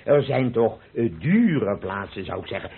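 An actor in a Dutch radio play playing a stingy, fussy burgher who hems and quibbles in a husky voice. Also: male voice, mid-range voice, sing-song, moderate pace, a little hiss or hum. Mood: quibbling. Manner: hesitant, hemming and quibbling. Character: stingy, fussy, hesitant burgher commissioning a portrait. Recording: dull, lo-fi old radio recording.

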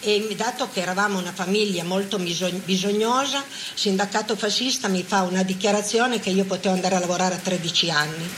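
A woman's voice: medium pitch, fast talker, hardly any pauses, background noise. An older woman from Italy giving a reflective, spoken testimony about her experience. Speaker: former partisan giving testimony. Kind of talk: reflective oral-history testimony.

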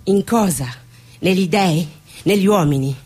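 A woman's voice: on the low side, moderate pace, a little mellow, slightly rough. An actress playing a grave, proud, fatalistic Somali woman seer in a stage drama. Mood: bitter, solemn. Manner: solemn, fatalistic.

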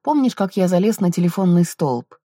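A troubled husband of almost forty, voiced by a woman narrator, speaks in terse, bitter challenges. The tone is soft and mellow, in a dry audiobook recording. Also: fairly low pitch, expressive, a little fast, no room sound. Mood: quiet, searching. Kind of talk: audiobook character dialogue.

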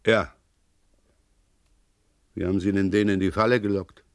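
An actor playing a stern, sardonic French police inspector in a 1980s German radio crime drama, insistent and dryly sarcastic. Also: male voice, deep voice, flat delivery, moderate pace, no echo, clean studio sound. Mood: probing, dry.

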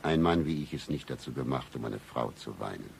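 An actor playing a cynical, deadpan hard-boiled private detective in a satirical noir radio play, with a husky voice. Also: male voice, a little fast, background noise, heavily acted. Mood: brooding, world-weary. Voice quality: very deep, husky, gravelly. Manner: deadpan, accusing, melodramatic.

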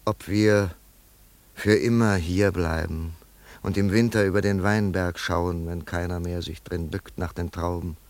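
A brooding older father speaks in a radio drama with a low voice, very dry and close. He is slow and halting, full of grief and longing, swelling suddenly and sinking back. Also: steady tone, a little mellow, dry recording. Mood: wistful, brooding. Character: grieving wealthy old father, brooding and yearning. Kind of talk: German radio play monologue.

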